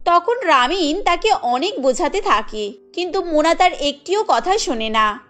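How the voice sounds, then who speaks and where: Female storyteller for cartoon narration, extremely high voice with animated swings, soft music behind. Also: measured pace. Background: faint music.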